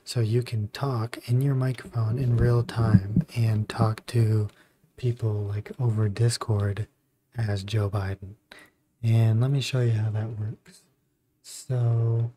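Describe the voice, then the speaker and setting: A man with a very dark, muted tone walks through software on screen. He talks slowly and casually, halting between phrases as he explains each step. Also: mid-range voice. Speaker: tutorial host. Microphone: close budget desktop microphone.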